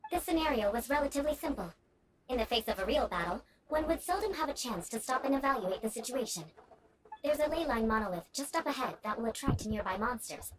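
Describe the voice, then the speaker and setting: A woman's voice: sits high, poor audio. A woman playing a poised young aristocratic knight in a video game, speaking formally and strictly with a teasing edge. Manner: formal, composed, haughty instruction.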